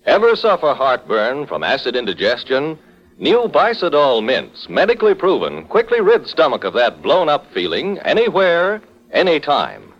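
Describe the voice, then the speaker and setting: A man's voice: on the high side, wildly swooping pitch, moderate pace. A man reading a 1940s radio commercial with a punchy sales delivery. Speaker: commercial announcer. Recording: muffled old radio broadcast recording.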